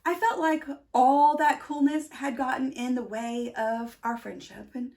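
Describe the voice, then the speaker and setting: A woman's voice: high-pitched, fairly even intonation, unhurried, gravelly, darkish tone. A woman voicing a shy, awkward, self-doubting bean in a children's storybook, hesitant and stammering. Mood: glum, hesitant.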